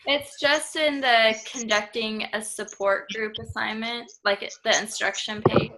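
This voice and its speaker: A woman's voice: a little high, steady tone, measured pace, heavy background noise. A young American woman speaking casually as a participant in a student discussion. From Idaho, United States. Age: young adult.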